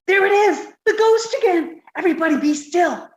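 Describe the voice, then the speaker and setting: A woman's voice: extremely high pitch, lively intonation, moderate pace, reverberant, highs cut off. A woman playing a naive, dim-witted, earnest young roommate in a 1950s-style radio comedy. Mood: frightened. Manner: innocent, literal-minded.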